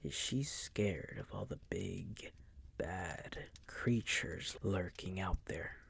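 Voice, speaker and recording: A man playing a possessive, suave vampire master in an intimate audio roleplay, hushed and breathy, with a silky, teasing, condescending manner. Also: low voice, expressive, slow, in a whisper, full character voice. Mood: mocking.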